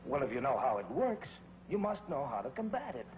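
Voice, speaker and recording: An actor playing an earnest, indignant space commander in a 1950s TV drama, asking urgent questions with emphasis. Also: male voice, mid-range voice, big pitch swings, brisk, a little hiss or hum, close to an everyday voice. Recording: dull 1950s TV soundtrack with music.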